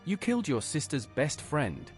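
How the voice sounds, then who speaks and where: A man's voice: on the high side, wildly swooping pitch, moderate pace, soft background music. A man's synthetic-style voice playing a cool, inquisitive fantasy game adventurer who preaches heresy. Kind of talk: AI-voiced video game player dialogue.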